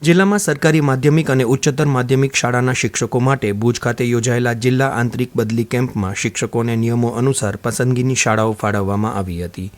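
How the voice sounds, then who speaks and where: A man reads scripted radio news in a measured, formal and neutral manner. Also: medium pitch, fairly even intonation. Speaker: radio newsreader.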